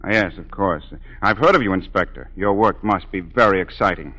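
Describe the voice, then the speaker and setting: A man acting a proud, suave opera singer in a 1940s radio drama, smooth, formal and theatrical, with dry sarcasm. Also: sits low, a little fast, pushed and bright. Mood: suave, needling. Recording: 1943 radio broadcast with music.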